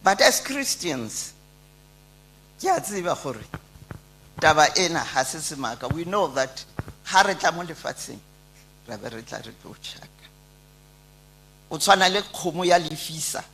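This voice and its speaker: An older woman with a Setswana accent and a loud voice, giving a formal, respectful tribute speech at a memorial service. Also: sits low, very animated, moderate pace, pauses often, husky, low-quality recording. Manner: formal, respectful address mixing English and Setswana. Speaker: eulogy speaker.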